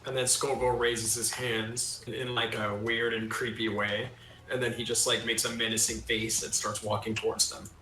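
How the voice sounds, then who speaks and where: A man's voice: medium pitch, little pitch movement, moderate pace, bright, forceful tone, reverberant, rough recording. A man playing a tabletop game, casually describing what his character does and says.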